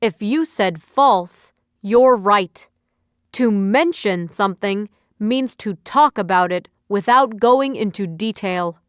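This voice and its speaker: Smooth, slow-paced female lesson narrator. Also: medium pitch, lively intonation, no room sound, clean studio sound. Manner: deliberate, instructional phrasing. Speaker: quiz narrator, instructor. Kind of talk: scripted educational narration.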